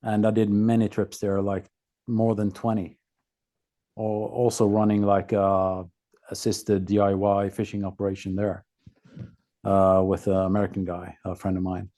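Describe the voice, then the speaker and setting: A man from Norway with a Norwegian accent, a podcast guest telling his own story casually in a halting, laconic way. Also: fairly low pitch, completely flat delivery, dark tone.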